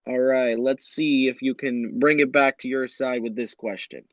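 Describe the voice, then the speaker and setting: A man's voice: mid-range voice, steady tone, normal speed, fairly bright tone, no room sound, lo-fi sound. A man hosting a quiz show, addressing contestants directly in a presenter's delivery. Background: background music.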